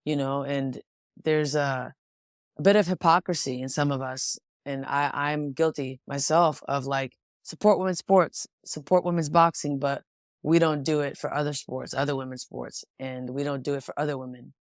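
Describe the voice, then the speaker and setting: A woman's voice: a bass voice, steady tone, moderate pace, no echo. Young woman, from Washington State, boxer guest, reflective, restarts often, dry close sound. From Washington State, USA. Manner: reflective, with frequent restarts and "like" fillers. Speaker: pro boxer and interview guest. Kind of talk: casual interview conversation.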